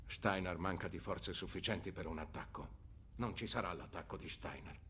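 Casting: grave general in a war film, deep voice with a sharp edge, formal and blunt. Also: male voice, normal speed, a little hiss or hum. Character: grave male army general bearing bad news. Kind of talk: film dub, military briefing.

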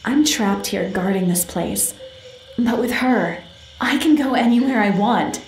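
A woman voicing an eerie, playful young ghost girl in a video game, possessive and teasing, speaking haltingly. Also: mid-range voice, animated, darkish tone, close to an everyday voice. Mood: coy, possessive. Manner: halting, teasing, giggly, talks to unheard companions. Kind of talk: video game character acting. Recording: echoey room, music underneath. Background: game music.

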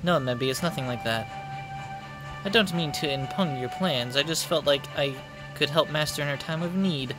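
Guy playing a gentle queenly goddess from a game, formal and measured, faint music. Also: a little high, natural voice, low-quality recording. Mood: composed. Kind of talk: video game dialogue read aloud.

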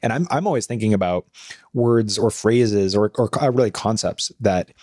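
Mellow low-voiced male interviewer. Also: moderate pace, no room sound, clean studio sound. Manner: thoughtful, rambling questions with restarts. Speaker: podcast host. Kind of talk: conversational interview.

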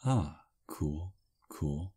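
Male VA playing a charming old vampire on a first date: very low, unhurried, soft and slightly halting, close dry ASMR mic. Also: expressive, breathy edge, no echo, full character voice. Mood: easygoing. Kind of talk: ASMR roleplay.

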